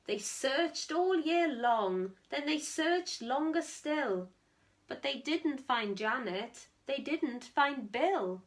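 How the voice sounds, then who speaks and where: A woman reading a rhyming picture book aloud to children in a theatrical, deliberate way with strong emphasis. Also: sits high, expressive. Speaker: storytime reader, teacher.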